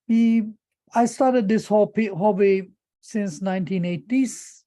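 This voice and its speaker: Middle aged turkish-accented guy giving a talk, really mellow relaxed voice, kinda halting, repeats words. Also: high voice. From Turkey. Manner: halting, repeats words while searching for phrasing. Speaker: presenter. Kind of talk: informal conference presentation.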